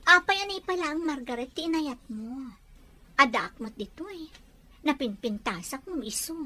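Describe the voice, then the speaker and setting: A woman's voice: sits high, lively intonation, moderate pace, pushed and bright. A woman with an Ilocano accent playing an earnest, insistent young daughter in an audio drama. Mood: pleading, lovestruck. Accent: Ilocano, from the Philippines.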